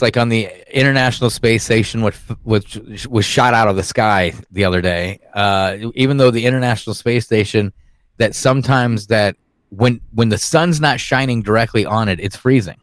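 A man's voice: medium pitch, moderate pace, no echo. A man co-hosting a podcast, talking casually and rambling with frequent restarts. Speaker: podcast host. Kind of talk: casual conspiracy talk.

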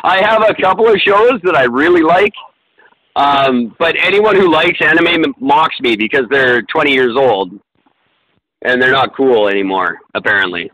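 A man chatting casually on a podcast, his voice bright and a little forceful. He rambles and jokes at his own expense, full of ums and restarts. His pitch sits at an ordinary middle. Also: expressive, normal speed, dry recording, dull audio. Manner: rambling, self-deprecating, many fillers and restarts. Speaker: podcast co-host. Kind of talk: casual chat.

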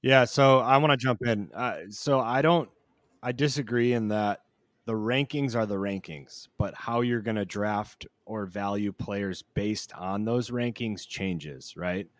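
Blunt, low-voiced man with a dry sound. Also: no room sound. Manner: blunt and profane, quick to joke. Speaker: podcast co-host. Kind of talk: casual fantasy football chat.

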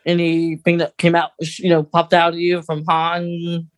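Mid-pitched casual podcast co-host. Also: completely flat delivery, measured pace. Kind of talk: casual chat about Star Wars lore.

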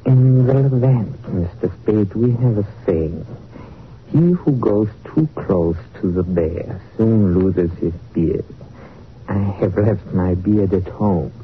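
A man's voice: on the low side, takes it slowly. An actor playing a small, dapper Levantine blackmailer in a 1940s radio drama, unctuous and formal, his politeness carrying a veiled threat. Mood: sly, courteous. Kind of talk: 1940s radio villain acting. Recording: tinny, noisy old radio recording.

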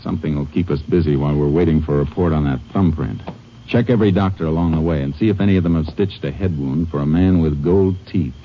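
An actor playing a stern Texas Ranger who talks in a clipped, commanding way, in 1940s radio acting style. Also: male voice, rumbling low, fast talker, noisy background. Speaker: narrator and lead. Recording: dull old radio recording.

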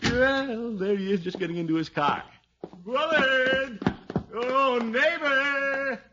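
An actor playing a pompous, flustered, lovelorn middle-aged uncle in a 1950s radio comedy, blustering and stammering. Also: male voice, very high-pitched, slow pace, heavy background noise. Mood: hearty, eager. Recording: dull 1950s radio broadcast recording.

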